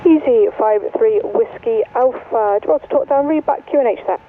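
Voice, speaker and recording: Female air traffic controller, clipped radar talk-down phraseology, narrow radio sound with clear static. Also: a little high, a little fast. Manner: clipped, procedural, instructing. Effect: aviation radio. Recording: tinny, noisy aviation radio transmission.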